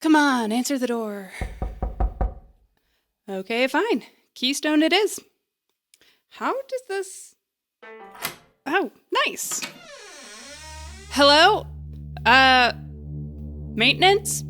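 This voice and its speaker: A woman playing an awkward, bluffing young repair worker in a comedy audio drama, halting and wryly correcting herself. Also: sits high, animated, a bit bright, faint music behind, heavily acted. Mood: wry, uneasy. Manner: halting, self-correcting, wry.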